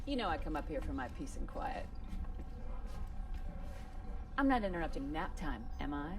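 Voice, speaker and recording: A woman's voice: sits low, sing-song, quick pace. A woman playing a brash, sardonic military commander in a video game cutscene, with a commanding delivery. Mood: dry, needling. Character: tough, sardonic female Spartan commander rallying troops. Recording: distant voice under loud music.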